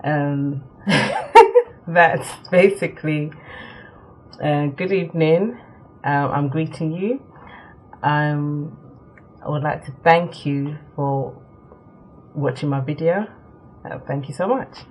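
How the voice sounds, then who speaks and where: A young woman born in Nigeria, chatting casually to camera for her vlog, with many ums and quick laughs. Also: deep voice, sing-song, darkish tone. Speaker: vlogger. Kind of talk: casual talk to camera, answering a tag.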